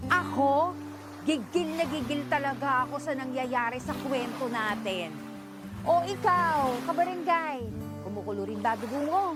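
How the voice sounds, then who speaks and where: A woman's voice: high voice, animated, measured pace. A woman with a Filipino accent delivering a chatty promo to listeners as an announcer. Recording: loud music behind.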